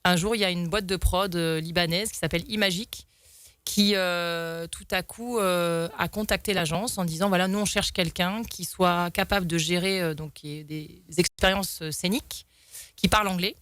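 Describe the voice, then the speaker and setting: A woman's voice: lowish voice, fairly even intonation, moderate pace, a bit bright, dry recording. A young woman guest telling stories in a talk show, rambling with many fillers and restarts. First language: French. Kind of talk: casual storytelling in an interview.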